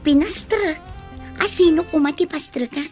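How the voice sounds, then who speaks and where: A woman's voice: high voice, normal speed, darkish tone, soft background music, close to an everyday voice. A woman acting an aggrieved, defiant young live-in partner in a radio drama. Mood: defensive.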